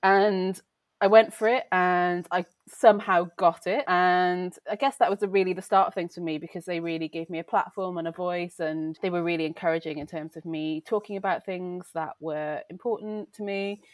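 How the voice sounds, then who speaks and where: A woman with a light Welsh accent talks through her career in a relaxed, conversational way, often pausing on fillers and restarting sentences. Her voice sits a little low, and the recording is close and dry with no room sound. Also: dry recording. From Wales. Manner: chatty, reflective, frequent fillers and self-corrections. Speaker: beauty journalist, guest. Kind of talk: casual conversational interview.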